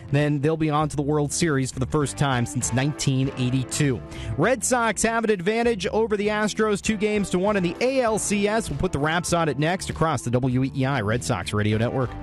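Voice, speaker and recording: Higher-pitched male sports radio host. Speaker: radio postgame show host.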